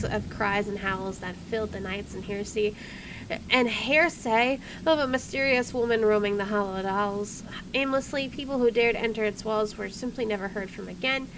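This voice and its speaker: A woman hosting a casual game playthrough, chatty and playful with wry asides as she comments and reads aloud. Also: medium pitch. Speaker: gaming video host. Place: indoors at home, air conditioner running. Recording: clear background air conditioner noise.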